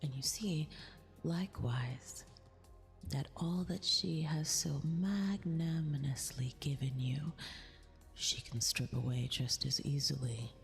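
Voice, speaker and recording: A woman's voice: deep voice, slow, gravelly, big-room sound, faint music behind, full character voice. A woman playing a vain, cruel but affectionate eldritch empress in an intimate, half-whispered ASMR roleplay, haughty and teasing. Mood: haughty, menacing.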